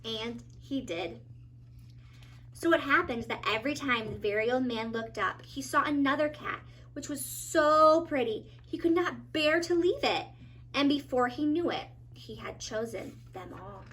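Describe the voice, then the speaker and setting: Woman, storybook narrator, higher pitch, bright tone, expressive, dramatic emphasis. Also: a little slow. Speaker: teacher reading as narrator.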